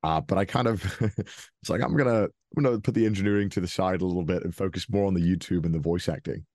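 Casting: young Welsh man, very low voice, dry close sound, loose rambling podcast guest. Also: fast, a little mellow, dry recording. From Wales. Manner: rambling, frequent restarts and fillers, chuckles often. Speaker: interview guest. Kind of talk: casual interview.

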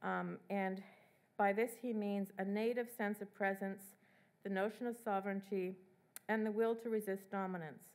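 A middle-aged woman lecturing from a podium in a hall, speaking in a measured way with fillers and restarts. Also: mid-range voice, fairly even intonation, darkish tone. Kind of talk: academic lecture.

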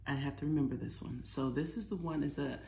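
A woman's voice: very deep voice, fast talker, darkish tone. An American woman in her forties talking casually to children as a storytime presenter, encouraging them and asking questions. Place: small living room at home, indoors. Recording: echoey room with clear background noise.

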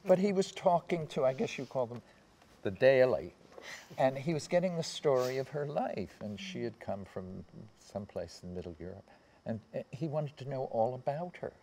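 An American man in his seventies reminiscing in anecdotes on a panel, haltingly, often trailing off and circling back. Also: medium pitch, animated, warm tone, a little hiss or hum. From United States. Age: 70s. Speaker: panel guest recalling personal memories. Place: seated on a theatre stage.

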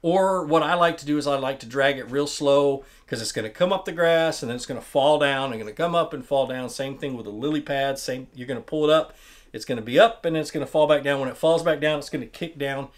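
A man in his thirties hosting a fishing channel, talking through his tackle in a relaxed, plainspoken way, often restarting words as he handles the gear. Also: mid-range voice, fast talker. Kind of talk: casual tackle explainer. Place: small indoor studio with wooden wall.

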